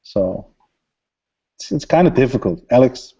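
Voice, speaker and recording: Dark-voiced man, muffled and lo-fi. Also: mid-range voice, measured pace, vocal fry, slightly rough. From Denmark. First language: Danish. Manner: relaxed, rambling, many fillers and laughs. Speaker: electronic music producer, guest. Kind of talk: casual interview chat.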